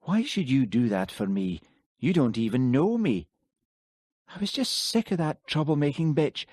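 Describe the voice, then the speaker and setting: A defensive young woman astrologer, as a male narrator puts her on, her lines lifted to a lighter, higher pitch. She speaks in a close, dry audiobook recording. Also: very animated, normal speed, a little mellow, no room sound.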